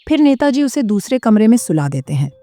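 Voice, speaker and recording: A woman's voice: fairly high pitch, very animated, brisk, mellow, no echo. A woman narrating a scripted horror story as its storyteller. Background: prominent music.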